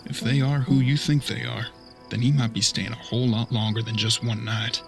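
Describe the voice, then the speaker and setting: A man's voice: medium pitch, level tone, moderate pace, vocal fry, faint music behind, natural voice. A man playing an earnest, thoughtful bounty hunter's apprentice in a western audio drama, with folksy frontier talk. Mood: wary.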